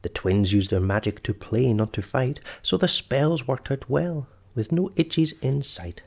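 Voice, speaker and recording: A man's voice: medium pitch, unhurried, creaky, a little mellow. A man reading a children's story aloud expressively, as storyteller and host.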